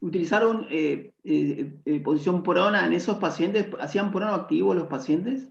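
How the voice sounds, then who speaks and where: A man moderates an online seminar. His voice is fairly high and creaky. The audio is echoey and slightly muffled, as on an internet call. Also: moderate pace. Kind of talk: informal moderating of a virtual seminar.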